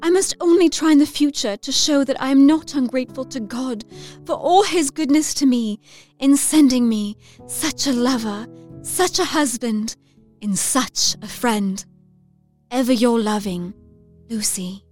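A woman's voice: sits high, a little slow, darkish tone. An actress playing a romantic, effusive young Victorian lady, girlish and emotional, narrating a letter in an audio drama. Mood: joyful. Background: music.

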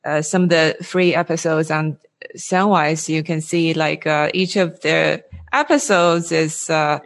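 A woman hosting a casual interview, speaking haltingly and often repeating words as she builds her sentences. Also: low voice, fairly even intonation. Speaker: interviewer, host.

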